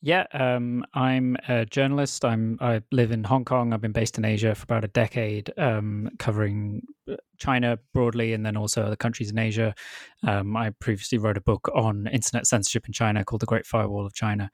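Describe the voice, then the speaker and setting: Soft-spoken man, dry close mic. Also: fairly low pitch, level tone, brisk, dry recording. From North Wales. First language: Welsh. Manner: thoughtful, hesitant, many ums and restarts. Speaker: guest author, journalist. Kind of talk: podcast interview.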